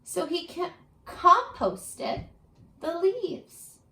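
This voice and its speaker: A woman reads a story to children like a teacher. Her high voice rises and falls expressively at an unhurried pace. The room sounds echoey. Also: vocal fry. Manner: expressive, asks the young listeners questions. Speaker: read-aloud teacher. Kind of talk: children's story read-aloud.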